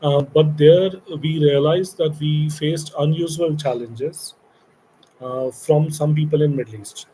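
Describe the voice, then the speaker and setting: A middle-aged man from India speaks with an Indian English accent, walking new interns through a program he founded. His delivery meanders, with many pauses and fillers. His voice is warm and mellow, with a faint hum of noise behind it. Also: a little high, fairly even intonation, rough recording. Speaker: company founder leading an internship. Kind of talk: recorded orientation talk to interns.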